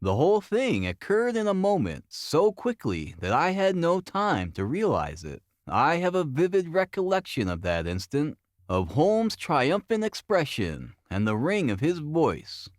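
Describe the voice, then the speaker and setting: A man reading a classic novel as scripted narration, in a formal, expressive storytelling manner. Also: on the high side, unhurried, no echo, studio quality. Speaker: audiobook narrator.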